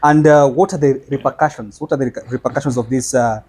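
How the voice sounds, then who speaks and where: Man on a podcast panel, mid-pitched, halting delivery full of "uh" and restarts, casual conversational tone. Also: steady tone, darkish tone, breathy edge. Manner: hesitant, many fillers and restarts. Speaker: podcast discussant introducing the topic.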